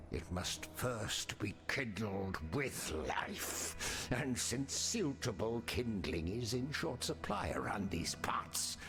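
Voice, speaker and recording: Slow, grandiose undead gentleman villain. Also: fairly low pitch, exaggerated voice. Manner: theatrical, ornate, mock-courteous speech. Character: undead male explorer captain, grandiose, ruthless, bitter gentleman. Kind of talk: video game villain monologue. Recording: music behind the voice.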